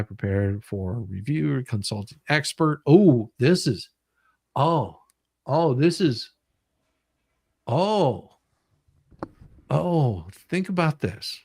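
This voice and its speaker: A man's voice: mid-range voice, darkish tone, no echo. Emphatic man commentating live, dry close sound. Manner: emphatic, repeats and explains points. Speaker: livestream host. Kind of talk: casual live commentary while reading legal documents aloud.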